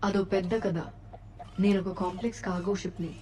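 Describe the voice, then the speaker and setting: An actress playing a proud, defiant ship's AI in an animated series, with a sassy, argumentative manner. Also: female voice, mid-range voice, quick pace, natural voice. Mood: indignant. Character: proud, defiant female AI of a crashed cargo ship.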